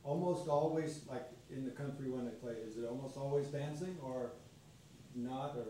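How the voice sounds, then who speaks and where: A man's voice: mid-range voice, steady tone, normal speed, warm tone, slight background noise. Distant man asking questions in an echoey room. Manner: curious, probing follow-up questions. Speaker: interviewer. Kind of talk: casual interview questions. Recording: roomy, distant with faint ambience.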